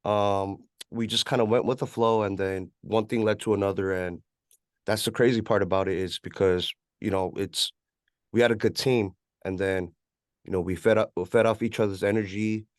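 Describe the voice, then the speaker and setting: A man's voice: sits low, fairly even intonation, moderate pace, no echo, clean studio sound. A man with a West Coast American accent chatting casually as an interview guest, rambling in a laid-back way with plenty of "you know". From California, USA. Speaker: interview guest, rapper and music producer.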